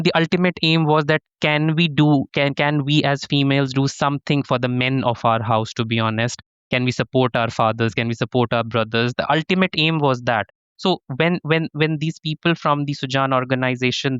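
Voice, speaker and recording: An earnest man from Pakistan answers interview questions, circling back and restarting as he explains. His voice is very clear and smooth, with little room echo. The recording sounds slightly dull and muffled. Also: medium pitch, a bit bright. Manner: earnest, many restarts and "to be honest". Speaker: professor, interview guest.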